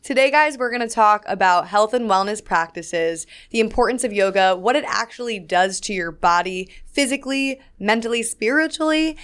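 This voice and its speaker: A woman hosting a casual podcast interview, bubbly and energetic, talking in quick bursts. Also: medium pitch, smooth. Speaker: podcast host.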